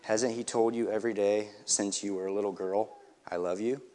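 A man reading the lines of a firm but gentle older nanny in a storybook, in an earnest voice with a few restarts. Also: on the low side, fairly even intonation, normal speed, close to an everyday voice.

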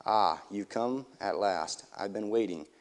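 A man reading the lines of a loving, patient father in a storybook, calm and tender. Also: lowish voice, a little slow.

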